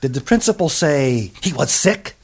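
A man's voice: a little high, sing-song, moderate pace, slightly rough, full character voice. A man voicing an eager male doctor in a comic story, drawing out his words with exaggerated deliberation. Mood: gleefully decisive.

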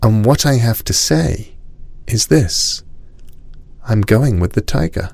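A man voicing a quiet, wild little princess in a storybook, soft, measured and calmly resolute. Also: lowish voice, creaky, no room sound, voice pushed up, heavily acted. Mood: calm resolve. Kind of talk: storybook character voice.

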